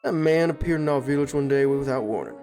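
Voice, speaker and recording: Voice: mid-range voice, quick pace, mellow, faint music behind, natural voice. A performer voicing an earnest, dignified, compassionate village leader in a cartoon comic dub, polite and formal. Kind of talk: comic book fan dub cartoon acting.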